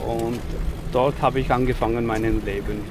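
A man's voice: mid-range voice, fairly even intonation. Guy in his 40s from india, hesitant german, lots of uh, street noise around. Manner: halting non-native German with frequent fillers. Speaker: interviewee, rickshaw driver. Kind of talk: casual interview.

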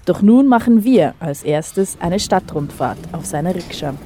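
A woman reporter narrating a radio feature in calm, scripted reportage. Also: fairly low pitch, measured pace, a little hiss or hum.

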